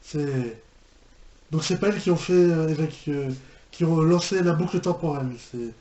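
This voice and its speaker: Man, slightly high voice, casual reaction commentary, repetitive hesitant phrasing, echoey room. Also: moderate pace, mellow, lo-fi sound. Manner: hesitant, repetitive, incredulous exclamations. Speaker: reaction video host. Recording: echoey room, faint noise.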